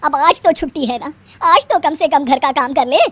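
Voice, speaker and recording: A woman voicing a weary, grumbling elderly mother-in-law in an animated family story, scolding and sarcastic. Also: high-pitched, normal speed.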